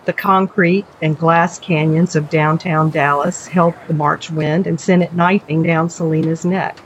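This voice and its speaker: A woman writer reading her own short story as scripted literary narration. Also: deep voice. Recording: dull lo-fi audio, background noise.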